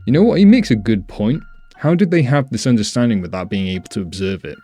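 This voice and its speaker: Guy doing casual reaction video commentary, lowish voice, bit of music behind. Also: expressive, quick pace, mellow.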